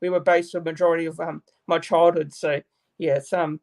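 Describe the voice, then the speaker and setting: A man's voice: a little high, level tone, a little fast, creaky, crowd noise. A man from New Zealand with a New Zealand accent, hosting a casual live-stream interview on a video call, talking with many fillers and restarts. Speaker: YouTube channel host.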